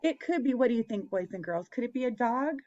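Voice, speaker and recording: A woman's voice: fairly high pitch, expressive, quick pace, darkish tone, lo-fi sound. A woman hosting an interactive picture-book read-aloud for young children, asking them questions and encouraging them as she goes.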